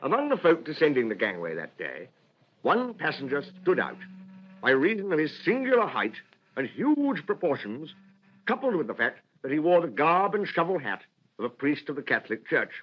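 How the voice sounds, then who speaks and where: An actor playing a genteel storyteller, narrating a radio drama with a British accent in a formal, deliberate, literary manner. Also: male voice, a little high, huge pitch swings, breathy edge, full character voice. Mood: measured, reflective. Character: genteel, measured storyteller recalling an old friend. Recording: dull, muffled radio recording.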